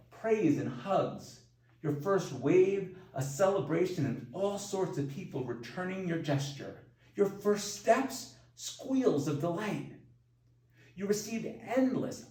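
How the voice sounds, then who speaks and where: A man reading his own self-help audiobook in scripted narration, with deliberate, emphatic phrasing and pauses. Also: sits high, dark tone. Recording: roomy echo, distant from mic.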